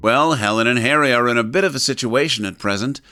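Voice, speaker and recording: A man's voice: mid-range voice, fast, over loud music, natural voice. A man giving scripted narration as the narrator of an audio drama. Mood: brisk, informative. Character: male narrator recapping a domestic audio drama.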